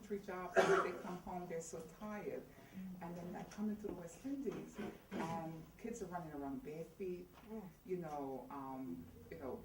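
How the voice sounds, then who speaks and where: A middle-aged woman from Trinidad and Tobago, a judge giving an unhurried, storytelling speech at a community event. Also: fairly low pitch. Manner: warm storytelling, unhurried, laughs easily. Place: community center hall. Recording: echoey hall from far off, noisy.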